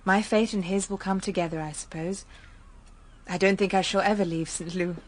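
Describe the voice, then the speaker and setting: A woman's voice: medium pitch, fairly even intonation, moderate pace, natural voice. A woman playing an enigmatic, matter-of-fact young upper-class woman in a radio drama. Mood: calm, detached. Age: young adult. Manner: composed, detached, matter-of-fact.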